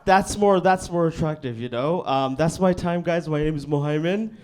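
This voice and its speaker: Young male stand-up from Bangladesh, a little higher-pitched than most men, deadpan and laid-back, working a club mic. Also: moderate pace, noisy background. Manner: laid-back, deadpan, frequent "you know what I mean". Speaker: stand-up comedian. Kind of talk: stand-up set. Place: comedy club stage.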